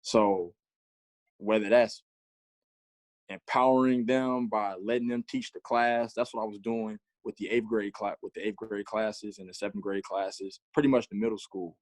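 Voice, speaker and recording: A man's voice: on the low side, level tone, moderate pace, darkish tone, no room sound. A man in his mid twenties, a gym teacher answering interview questions in an easygoing, reflective way. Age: 20s. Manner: easygoing, reflective, light laughs. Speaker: physical education teacher, guest.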